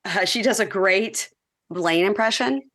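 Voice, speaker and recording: Bright-voiced Southern woman hosting a podcast. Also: medium pitch. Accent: Southern US (Mississippi). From Mississippi, USA. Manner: chatty, affirming, quick to laugh. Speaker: podcast host. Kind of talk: casual interview chat.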